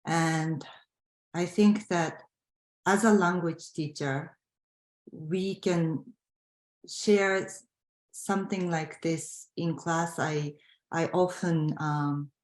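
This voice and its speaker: A woman language teacher answering an audience question, halting and thoughtful. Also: deep voice, echoey room, rough recording. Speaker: language teacher, guest professor.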